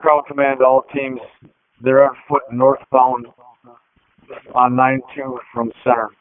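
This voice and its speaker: A man's voice: medium pitch, level tone, takes it slowly, phone-quality sound. A male police officer making clipped, procedural transmissions over a thin two-way radio. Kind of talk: terse police radio traffic.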